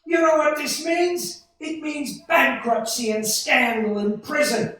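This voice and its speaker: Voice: sits high, steady tone, slow pace, fairly bright tone. A short-tempered husband and father at breaking point, played by an actor in a vintage-style radio drama. The voice is heard off mic in a heavily echoing space. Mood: furious. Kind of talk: 1940s-style radio play acting. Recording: very echoey room, distant from microphone.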